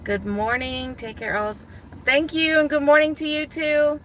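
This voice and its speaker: A woman from Guam chatting casually to viewers from her car, thinking out loud and laughing easily. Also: high-pitched, little pitch movement, measured pace, a little hiss or hum, low-quality recording. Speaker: gig delivery driver vlogger. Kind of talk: casual talking to viewers while driving. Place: inside a parked car.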